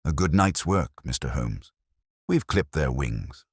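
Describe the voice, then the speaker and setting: A man's voice: rumbling low, expressive, measured pace, breathy edge, no echo, studio quality, exaggerated voice. A man voicing a businesslike police inspector in a mystery audiobook, blunt and formal. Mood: satisfied.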